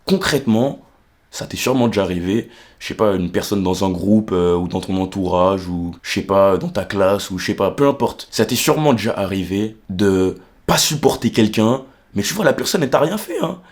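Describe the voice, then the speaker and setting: Lowish-voiced young man explaining casually. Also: lively intonation, normal speed, a little mellow. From Martinique. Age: young adult. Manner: colloquial, constantly checking the listener follows. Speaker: vlogger explaining psychology. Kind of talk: casual explanatory monologue to the viewer.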